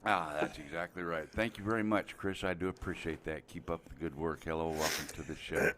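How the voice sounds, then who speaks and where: A man's voice: rumbling low, fairly even intonation, fairly quick, background noise. A man hosting a live show, chatting casually and joking as he reads out viewer names. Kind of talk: casual live-stream chat.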